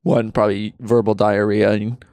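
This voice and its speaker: A relaxed young man in easy podcast banter, his voice sitting a bit low with a gravelly edge. The sound is dry and close, recorded in a basement. His talk rambles loosely, packed with fillers. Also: a little slow, dry recording. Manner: laid-back, frequent "like" and "yeah" fillers. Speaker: podcast co-host. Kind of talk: casual chat.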